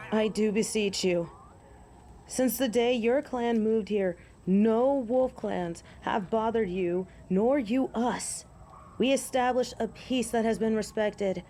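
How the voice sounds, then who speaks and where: A woman's voice: fairly high pitch, mellow, slight background noise, lightly acted. Proud, formal werewolf woman, voiced by an actress. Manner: formal, archaic, solemn phrasing. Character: proud, honorable female werewolf pleading with human hunters. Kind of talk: dramatic audio drama acting.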